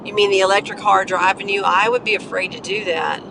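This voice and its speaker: Hoarse woman chatting from a car. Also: medium pitch, steady tone, brisk. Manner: chatty, coughing between remarks. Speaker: live streamer. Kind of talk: casual chat with viewers while driving. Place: inside a moving car. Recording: road noise from a moving car.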